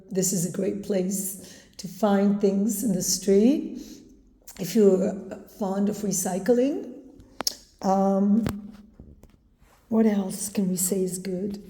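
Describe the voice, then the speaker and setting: A woman with an Israeli accent, talking casually to camera with many pauses and ums. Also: medium pitch, warm tone. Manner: slow, hesitant, many ums. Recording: echoey room with long reverb.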